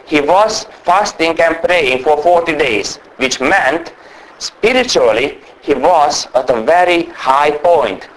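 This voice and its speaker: A man giving a sermon as a guest preacher. He speaks English with a strong non-native accent, talks fast by nature and tries to slow down, and sounds under the weather with a cold. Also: on the high side, lively intonation, slight background noise, rough recording. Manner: naturally fast talker trying to speak slowly. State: unwell with a cold or flu.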